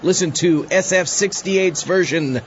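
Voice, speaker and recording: An actor playing a wry, exasperated sidekick who hosts a comic horror podcast in a conversational manner. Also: male voice, sits high, a little slow, dull audio, a little hiss or hum. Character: wry, exasperated male sidekick and show host. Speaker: host. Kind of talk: comic podcast hosting in character.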